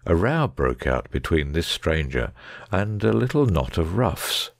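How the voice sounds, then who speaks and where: A man playing a keen, analytical gentleman detective in a dramatic audiobook reading, precise, discursive and wry. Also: sits low, creaky, no room sound, studio quality, exaggerated voice.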